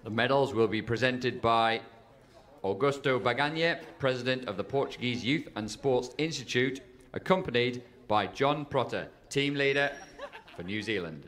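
Bright-voiced male ceremony announcer. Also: mid-range voice. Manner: formal, measured. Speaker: venue announcer.

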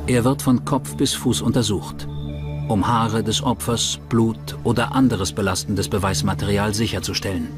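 A man's voice: lowish voice, steady tone, moderate pace. A man reading scripted narration for a true-crime documentary. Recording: music under the voice. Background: music.

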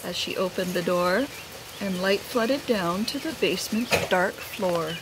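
A middle-aged woman, a teacher, reads a picture book aloud expressively, outdoors under a roof. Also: mid-range voice. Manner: unhurried, animated. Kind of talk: expressive read-aloud storytelling. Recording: rain noise behind the voice.

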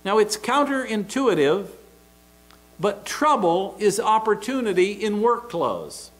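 A middle-aged American man preaching a sermon from a church pulpit into a pulpit microphone, emphatic and deliberate. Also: high voice, very animated, fairly bright tone, rough recording. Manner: emphatic, deliberate preaching with open hand gestures. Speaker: pastor.

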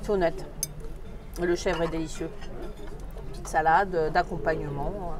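A woman's voice: low voice, fairly even intonation, a little slow, noisy background. A woman giving a casual, chatty commentary as she tastes food for a vlog. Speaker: vlogger reviewing a restaurant meal. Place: busy restaurant at a theme park. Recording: restaurant crowd chatter behind.

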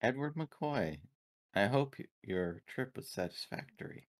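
A man's voice: mid-range voice, expressive, a little slow, natural voice. A man playing a polite, formal android crewmember in a tabletop game, speaking precisely and courteously. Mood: polite, composed.

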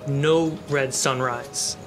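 A man's voice: mid-range voice, fairly even intonation, extremely slow, over music. A man narrating a tabletop roleplaying game as game master, with ambient sound behind him. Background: ambient sound effects.

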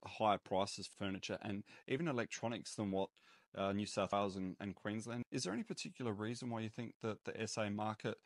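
A man's voice: fairly low pitch, fairly quick, dry recording, clean studio sound. A man with an Australian accent, chatting casually as an interviewer. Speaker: interviewer and video host.

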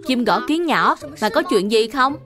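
A woman's voice: a little high, expressive, moderate pace, close to an everyday voice. A woman voicing a helpful, earnest little boy in a dubbed children's cartoon, with a childlike manner. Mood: helpful, curious. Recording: music behind the voice.

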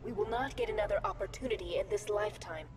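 A woman's voice: mid-range voice, fast, background noise, natural voice. A woman voicing a solemn urgent game guide. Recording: distant game audio over music.

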